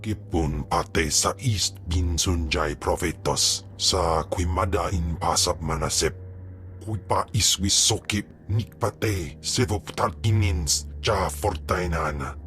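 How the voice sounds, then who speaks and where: A man playing a grave, imposing alien king in a video game, speaking solemnly and deliberately. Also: sits low, fairly bright tone, slight background noise. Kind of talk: video game voice acting in an invented alien tongue.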